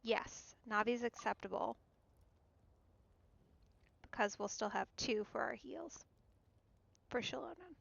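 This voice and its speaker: A woman streaming a video game, casually thinking aloud in a low-key, musing way as she plays. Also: animated, takes it slowly, vocal fry, long pauses, a bit bright, lo-fi sound. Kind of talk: casual live game commentary. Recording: game music behind the voice. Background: video game music.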